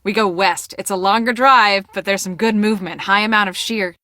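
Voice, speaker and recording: A woman playing an earnest, take-charge storm-chasing project manager in a video game, speaking in a brisk, businesslike way. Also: mid-range voice, bright, forceful tone, no room sound, clean studio sound, lightly acted. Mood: focused.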